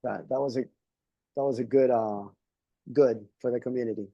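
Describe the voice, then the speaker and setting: A man whose first language is Spanish, talking on a webinar panel in a halting way, with many restarts and fillers. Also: medium pitch, fairly even intonation, no room sound, highs cut off. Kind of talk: casual panel talk.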